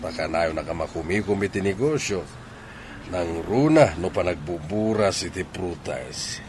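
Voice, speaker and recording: A man's voice: deep voice, normal speed, a little mellow, a little hiss or hum, natural voice. A man playing a friendly, boastful older village father with a Filipino accent in a radio drama. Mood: persuading.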